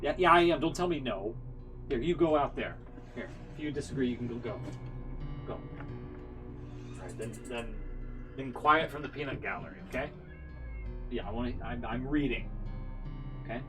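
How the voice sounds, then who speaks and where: A man's voice: mid-range voice, normal speed, long pauses, over music. A man chatting casually as he livestreams a game, muttering wry, sweary asides. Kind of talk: casual stream chat and improvised reading aloud.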